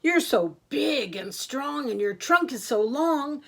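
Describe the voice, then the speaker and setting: A woman reading a fretful storybook buffalo who begs in slow, rhyming lines, her high voice kept close to her own. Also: animated, a bit bright, full character voice. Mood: pleading. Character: worried, pleading buffalo.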